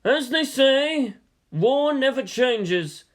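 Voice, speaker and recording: A man voicing a grave, philosophical military teacher who lectures in measured rhetoric in a story reading. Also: extremely high pitch, animated, pitched above the performer's own voice, full character voice. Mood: grave, resigned.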